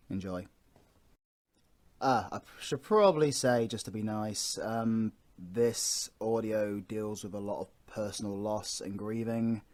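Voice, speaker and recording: Low-voiced British man, chatty and earnest. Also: darkish tone. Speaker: voice actor and audio roleplay creator. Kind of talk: unscripted, heartfelt introduction to listeners.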